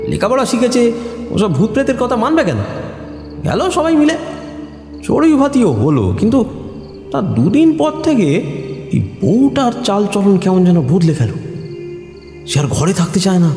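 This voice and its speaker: An actor playing a rustic young husband who tells village ghost tales in a nervous, halting, folksy way. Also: male voice, high voice. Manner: hesitant, halting, folksy storytelling with fillers. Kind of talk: Bengali audio horror story acting. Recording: echoey reverb with music underneath.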